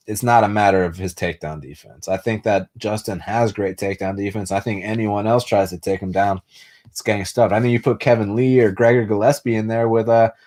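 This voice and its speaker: A man's voice: on the low side, a little mellow. Laid-back young male stream host. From United States. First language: Spanish. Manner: loose, profane, full of fillers and restarts. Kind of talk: casual live-stream chat answering viewers.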